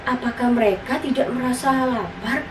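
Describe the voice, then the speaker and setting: A woman's voice: a little high, normal speed, lightly acted. A woman voicing a curious, innocent little boy who asks polite, eager questions in a children's story. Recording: roomy, distant, noisy recording.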